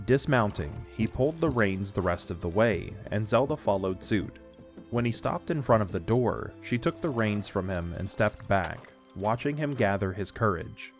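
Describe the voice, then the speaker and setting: A man's voice: fairly low pitch, animated, normal speed, soft background music. A man narrating a scripted story for an audio drama.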